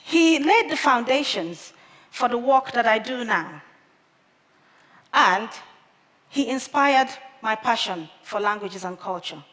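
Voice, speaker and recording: A woman in her thirties, a native Igbo speaker, speaks slowly and deliberately from a theater stage, her fairly high voice rising and falling in lively swings. Her headset mic picks her up clearly, with a long reverb tail ringing through the large hall. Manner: slow, measured delivery with careful pauses. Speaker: conference speaker. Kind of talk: prepared public talk.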